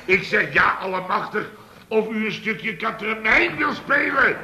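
An actor playing a blustering, indignant boss of a building firm in a Dutch radio comedy, grumbling at length and sputtering with exasperation. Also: male voice, sits high, lively intonation, unhurried, pushed and bright, exaggerated voice. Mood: exasperated storytelling. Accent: Netherlands Dutch. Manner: exasperated, sputtering, long-winded comic grumbling. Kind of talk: Dutch radio comedy acting around 1970. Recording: hissy old radio recording.

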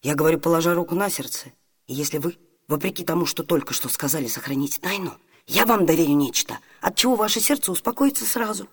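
Husky, self-possessed young noblewoman in disguise. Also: low voice, lively intonation, heavily acted. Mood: confiding, earnest. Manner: earnest, formal, measured stage diction. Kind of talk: Soviet radio theatre comedy acting.

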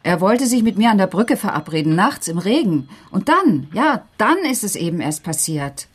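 Slightly husky, anxious middle-aged woman. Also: medium pitch, sing-song, normal speed. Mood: uneasy, hesitant. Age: 40s. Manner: hesitant, flustered, emotional. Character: anxious forty-year-old female teacher and single mother. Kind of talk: naturalistic radio crime drama acting.

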